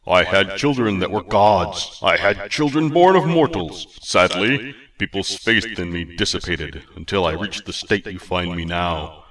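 A man voicing a tiny, pompous male god who speaks in a grand, archaic, booming manner. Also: expressive, unhurried, fairly bright tone, recorded from a distance. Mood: solemn.

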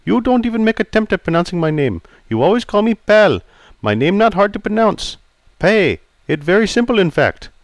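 A man's voice: medium pitch, wildly swooping pitch, measured pace, hardly any pauses, no echo. A man voicing a prickly older male barber who speaks in clipped, broken English. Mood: gruff.

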